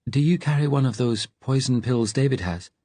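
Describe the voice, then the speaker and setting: A man's voice: medium pitch, expressive, brisk, mellow, slightly rough, no echo, pitched above the performer's own voice. A man narrating an audiobook, playing a nervous, timid, fragile fugitive with a hesitant, earnest, questioning manner. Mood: anxious. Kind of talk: audiobook character dialogue.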